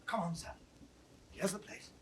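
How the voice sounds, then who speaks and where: A man playing a disguised young nobleman, tender and urgent, in theatrical classical Shakespearean acting that moves between hush and exclamation. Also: normal speed, natural voice. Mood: urgent, persuasive. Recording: distant, noisy 1960s studio drama recording.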